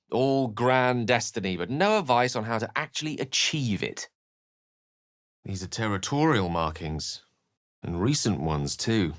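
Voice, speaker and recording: A thoughtful, well-spoken wizard for a fantasy video game. His voice sits fairly low and is very dry, with no room around it. He speaks in measured, theatrical phrases with a wry, scholarly temper. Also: fairly bright tone, no echo. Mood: musing. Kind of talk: video game voice acting, dialogue and narration.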